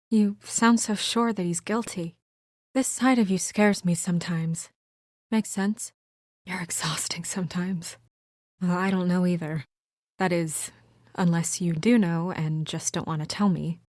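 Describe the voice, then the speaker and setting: A woman playing an earnest, weary and conscientious young administrator in a video game, speaking in a measured, serious, sometimes hesitant way. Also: on the low side, fairly even intonation, darkish tone, dry recording, studio quality, lightly acted. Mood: wary, probing. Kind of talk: naturalistic video game voice acting.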